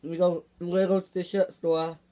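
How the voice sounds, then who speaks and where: A woman's voice: on the low side, flat delivery, fast, mellow, lightly acted. A woman voicing a laid-back teenage cartoon boy, casual and matter-of-fact, in an improvised character play. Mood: casual, firm.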